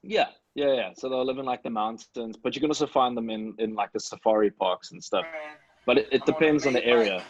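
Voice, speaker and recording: A man's voice: medium pitch, brisk, muffled recording, a crowd in the background. A man from Africa, chatting casually with fellow podcast cast members. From South Africa. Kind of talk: casual chat.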